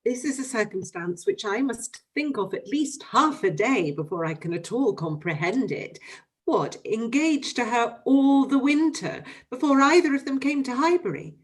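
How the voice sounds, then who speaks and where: A woman reading an audiobook, voicing a clever, lively young gentlewoman who speaks in an earnest, pressing way. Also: on the high side, normal speed. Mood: curious.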